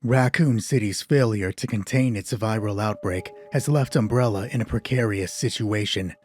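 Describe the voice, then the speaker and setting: A man's voice: lowish voice, moderate pace, darkish tone, soft background music, natural voice. A man voicing a cold, authoritative corporate commander who gives a mission briefing in a clipped, formal manner. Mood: cold, stern. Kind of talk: video game style mission briefing.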